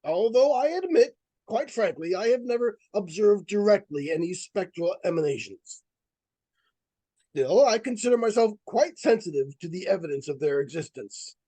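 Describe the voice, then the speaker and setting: Man playing earnest male psychic researcher, high voice, swooping intonation, grave formal diction, radio suspense drama. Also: lively intonation, warm tone, dry recording. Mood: solemn, foreboding. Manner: grave, deliberate, formal, theatrical. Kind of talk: live old-time radio suspense acting.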